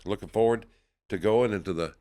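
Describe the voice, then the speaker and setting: A man hosting a company podcast as its CEO, affable and encouraging, often answering his guest with quick affirmations. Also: lowish voice, mellow. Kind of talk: casual podcast interview.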